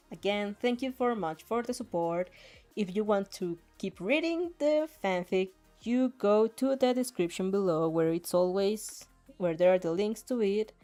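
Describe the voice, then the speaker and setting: A woman's voice: medium pitch, mellow. A young woman reading a fanfiction aloud and chatting casually with viewers in a giggly, rambling, easily flustered way. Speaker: fanfic reader and streamer. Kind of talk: reaction reading and casual chat.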